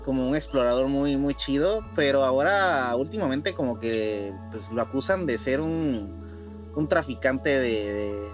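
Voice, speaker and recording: A man's voice: mid-range voice, expressive, a little slow, music in the background. A man chatting casually as a podcast co-host, informal and slangy. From Puerto Rico. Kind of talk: casual chat.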